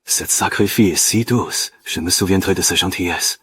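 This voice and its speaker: A man voicing a cocky, crude cat who was once human, talking to himself boastfully and excitably in a dubbed cartoon. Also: low voice, normal speed, slightly rough, no echo. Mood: wry musing. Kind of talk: dubbed animation monologue.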